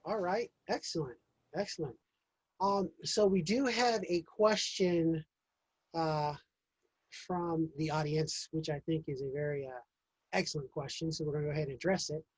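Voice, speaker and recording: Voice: medium pitch, steady tone, normal speed, husky, dry recording, rough recording. A moderator who reads out audience questions in an upbeat, encouraging way.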